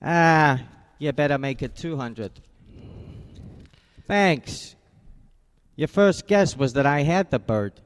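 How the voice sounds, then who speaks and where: A man's voice: fairly high pitch, expressive, slow, long pauses, smooth, big-room sound. An actor playing a tough, wisecracking male private eye who also narrates a radio drama, in a wry, clipped, hard-boiled way. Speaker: narrator and lead.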